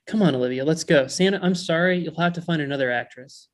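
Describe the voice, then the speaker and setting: An actor playing an exasperated, earnest, jealous male elf in a comedy table read, indignant and halting. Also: medium pitch, lightly acted. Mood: hurt, fed up.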